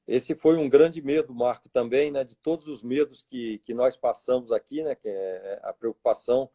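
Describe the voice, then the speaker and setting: A man's voice: mid-range voice, moderate pace, dark tone, reverberant. A man with a Brazilian Portuguese accent, a guest on a business livestream, chatting informally with short supportive remarks. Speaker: retail executive, livestream guest.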